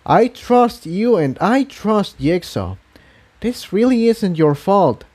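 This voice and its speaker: Man doing a high-pitched, gentle young mare. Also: very animated, slow, exaggerated voice. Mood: reassuring. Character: young female pony, gentle, giggly, forgiving friend.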